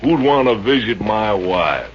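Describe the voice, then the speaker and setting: A man's voice: on the low side, very animated, a little slow. Folksy, jovial Texan good old boy. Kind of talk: 1950s radio comedy acting. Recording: dull, hissy old radio recording.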